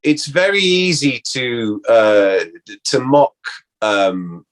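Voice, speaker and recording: Halting, reminiscing male guest. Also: medium pitch, very animated. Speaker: interview guest, actor. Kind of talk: casual reminiscing conversation.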